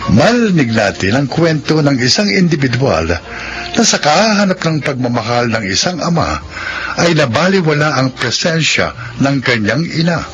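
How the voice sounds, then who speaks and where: Expressive male radio drama announcer. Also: a little high, normal speed. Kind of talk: scripted dramatic narration and credits. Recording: dull radio broadcast over music. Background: loud music.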